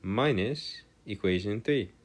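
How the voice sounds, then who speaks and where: Male tutor, lowish voice, very unhurried, hesitant and self-correcting, formal turns of phrase. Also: expressive. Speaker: maths tutor. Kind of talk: maths lesson, working through equations aloud.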